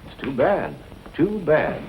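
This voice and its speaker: Animated, higher-pitched courteous attorney. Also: male voice, slow pace, full character voice. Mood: formal, regretful. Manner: formal, precise, courteous. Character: courteous, talkative male city attorney. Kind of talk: old film acting. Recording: muffled, noisy old film soundtrack.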